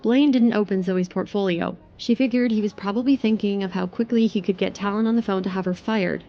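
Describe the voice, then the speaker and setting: A woman's voice: medium pitch, a little fast, dull audio. A woman narrator reading a scripted third-person romance story for an audio drama.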